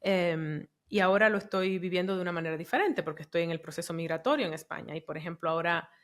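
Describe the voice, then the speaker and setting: A woman who grew up in the Dominican Republic, hosting a podcast and speaking reflectively in casual conversation with soft murmured backchannels. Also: lowish voice, quick pace. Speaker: podcast host.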